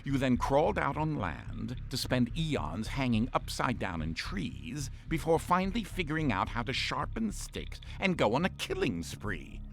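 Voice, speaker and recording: An actor playing a pompous, condescending shipboard artificial intelligence in a game, theatrical and sarcastic. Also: male voice, mid-range voice, big pitch swings, measured pace, vocal fry, hardly any pauses, a bit bright, exaggerated voice. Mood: disdainful. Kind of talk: scripted game voice pack acting.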